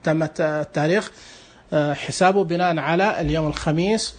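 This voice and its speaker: Man, high voice, fast, casual tutorial, patient step-by-step explaining. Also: fairly even intonation. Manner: plain, instructive, explains patiently with small restarts. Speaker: app demonstrator.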